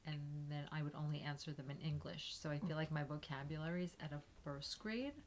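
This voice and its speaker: A woman, a guest on a podcast, chatting in a relaxed, friendly way. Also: sits low, brisk. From United States. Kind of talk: casual conversation.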